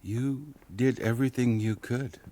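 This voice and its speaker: A man's voice: mid-range voice, measured pace, warm tone, lightly acted. A man playing a cocky, roguish survivor and raider in an audio drama, laconic and wry. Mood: sober, consoling. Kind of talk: naturalistic audio drama acting.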